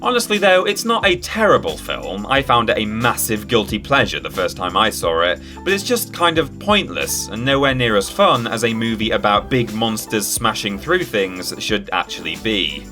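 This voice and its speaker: A man's voice: on the low side, big pitch swings, moderate pace, few pauses. A man presenting a scripted countdown in a wry, tongue-in-cheek manner. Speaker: video presenter. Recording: music behind the voice. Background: background music with guitar.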